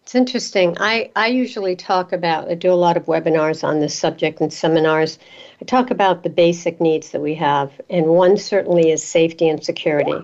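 Low-voiced woman radio host, lo-fi. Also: a little fast, darkish tone. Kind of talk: interview host chat. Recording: dull lo-fi sound.

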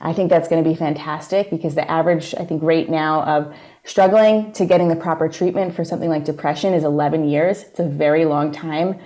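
Low-voiced woman with a New York accent. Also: a little fast. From New York area, USA. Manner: explanatory, steady, plain-spoken. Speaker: guest psychologist. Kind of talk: casual interview conversation.

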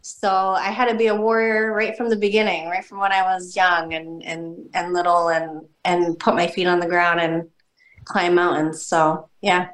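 A woman's voice: fairly low pitch, level tone, moderate pace, a bit bright, echoey room, poor audio. A woman from New Jersey and upstate New York, a guest author speaking in a friendly, grateful way and often repeating words. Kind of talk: casual interview chat.

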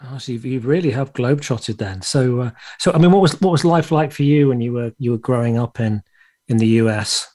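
A man hosting an interview podcast who asks his questions haltingly, restarting them with many fillers. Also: mid-range voice, dark tone. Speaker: podcast host. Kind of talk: casual interview conversation.

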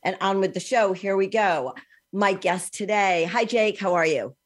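A woman's voice: mid-range voice, moderate pace. A woman in her sixties hosting a podcast interview. She is chatty and enthusiastic and drops in ums as she talks. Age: 60s. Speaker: podcast host.

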